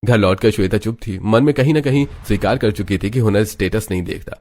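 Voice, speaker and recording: Storyteller: man with a lowish voice, narrating a scripted cartoon family drama. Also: brisk, darkish tone.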